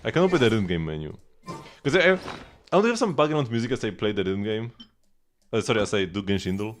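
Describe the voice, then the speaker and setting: A man casually commentating on a game livestream, thinking aloud haltingly and repeating words as he goes. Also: on the low side, big pitch swings. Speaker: live streamer.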